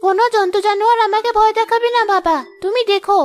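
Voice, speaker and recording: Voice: very high voice, fairly even intonation, fast talker, a little hiss or hum. A performer voicing a sweet, eager, fearless little girl in a cartoon drama. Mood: eager, confident. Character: dreamy, fearless little girl who talks to animals.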